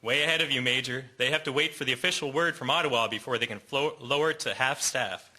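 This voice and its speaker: A man playing a persistent, dutiful small-town newspaper reporter in a radio drama, brisk and earnest. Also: mid-range voice, bright, forceful tone. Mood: insistent. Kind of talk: amateur radio drama acting.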